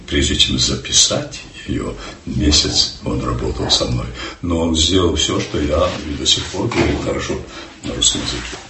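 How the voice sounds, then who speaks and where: An older man from Ukraine, a stage actor, telling stories in an unhurried, emphatic way during an interview. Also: extremely low pitch, animated, roomy sound, recorded from a distance, noisy background. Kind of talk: reflective interview answers.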